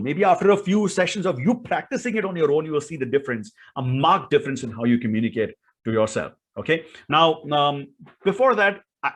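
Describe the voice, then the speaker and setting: A man's voice: fairly high pitch, a bit bright, slightly rough. A man with an Indian accent giving an instructional talk as a speaking coach, in an emphatic, theatrical way full of rhetorical questions.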